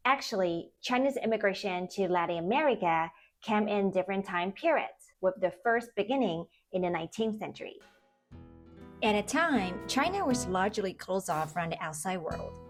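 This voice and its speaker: A young woman narrates a food history piece in a clear, steady, scripted manner. Her pitch is mid-range, and faint background music plays beneath her voice. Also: moderate pace. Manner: clear, even storytelling with brief mm-hmm cues. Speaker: food video host. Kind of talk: scripted documentary narration and interviewing.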